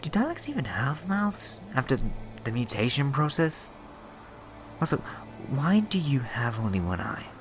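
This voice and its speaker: A man's voice: medium pitch, very animated, measured pace, slight background noise. A man playing a scheming, mischievous and theatrical villain in a sci-fi fan audio drama, mocking in manner. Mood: playful, mocking. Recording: echoey reverberant hall, music underneath.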